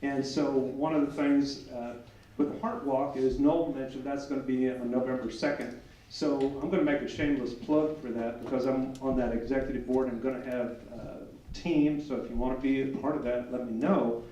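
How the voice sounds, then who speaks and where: A man's voice: medium pitch, fairly even intonation, normal speed, warm tone, a little hiss or hum. A man emceeing a business meeting, chatty, making friendly plugs and introducing speakers. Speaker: event emcee. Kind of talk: meeting announcements. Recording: echoey room, far from mic.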